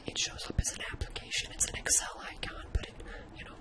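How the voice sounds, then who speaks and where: A person whispering an informal ASMR coding tutorial in a breathy, unvoiced voice, hesitating and thinking aloud. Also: fast talker. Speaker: tutorial host.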